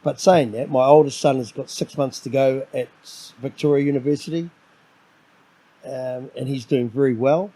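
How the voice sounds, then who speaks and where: A middle-aged man with a New Zealand accent, a podcast guest in casual conversation, with a loud, domineering voice that rambles and repeats words as he starts his sentences. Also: medium pitch, steady tone, normal speed, breathy edge, poor audio.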